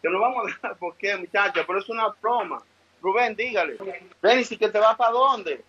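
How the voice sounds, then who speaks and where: A man's voice: sits high, moderate pace, sharp tone, breathy edge. A middle-aged man with a Dominican accent, pleading and placating in exasperated phone talk. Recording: noisy, muffled phone line.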